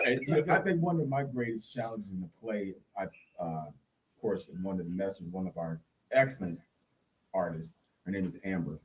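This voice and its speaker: A man's voice: deep voice, expressive, brisk. A man telling a casual anecdote as an interview guest in an amused, good-humored way, a little away from the microphone. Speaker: cast member, interview guest. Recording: slightly distant microphone.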